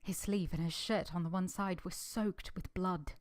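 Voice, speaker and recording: An actress playing a devoted, composed young woman in an old-time radio drama, earnest, deferential and measured. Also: fairly low pitch, steady tone, no room sound, studio quality, close to an everyday voice. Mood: solemn narrating.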